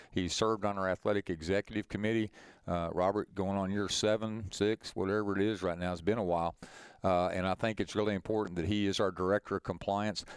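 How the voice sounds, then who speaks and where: A middle-aged man with a fairly low, smooth voice speaks informally to members on a conference call. He is folksy and encouraging, and the sound is close and dry. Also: no room sound. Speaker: head of a school athletics association leading a conference call.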